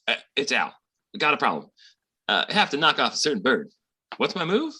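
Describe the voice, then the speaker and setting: A man playing a slangy, squeamish small-time schemer with a raspy voice in a 1940s-style radio comedy. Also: mid-range voice, huge pitch swings, normal speed, sharp tone, exaggerated voice. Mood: breezy, scheming.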